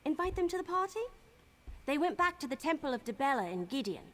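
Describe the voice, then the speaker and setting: A woman's voice: high-pitched, very animated, fast, fairly bright tone. A woman voicing an eager, cheerful young wood elf adventurer in a video game, with a bubbly, enthusiastic manner. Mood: friendly. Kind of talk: video game character acting.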